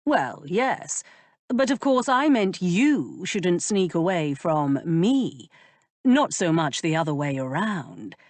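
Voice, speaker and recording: A woman voicing a teasing aristocratic male earl who speaks in an unhurried, amused drawl. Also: fairly low pitch, big pitch swings, darkish tone, dry recording.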